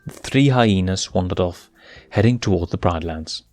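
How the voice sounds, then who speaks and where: A man's voice: low voice, moderate pace, darkish tone, no room sound. A man reading fan fiction aloud as scripted story narration. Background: faint music.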